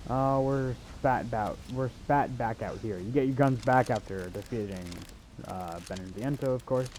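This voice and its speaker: A man's voice: mid-range voice, moderate pace, a little mellow. Guy walking thru a video game, casual n kinda hesitant, wry, some noise and clicks behind him. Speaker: video game walkthrough host. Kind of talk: casual gameplay commentary.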